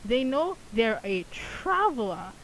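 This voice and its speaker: A woman's voice: on the high side, very animated, slow pace, fairly bright tone, rough recording. A woman giving casual gameplay commentary in a rambling, conversational way. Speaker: gaming content creator. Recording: faint hiss.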